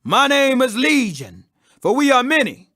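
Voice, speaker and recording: Man acting out a loud, high, tormented demon. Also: big pitch swings, a little slow, full character voice. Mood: ominous. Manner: crying out, imploring. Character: tormented legion of unclean spirits, pleading loudly.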